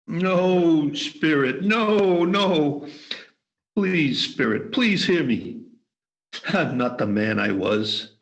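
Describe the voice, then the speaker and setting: Man voicing an old miser, pleading, earnest, very slow delivery, radio play. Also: on the high side, lively intonation, dull audio, heavily acted. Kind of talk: amateur radio play acting. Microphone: video call.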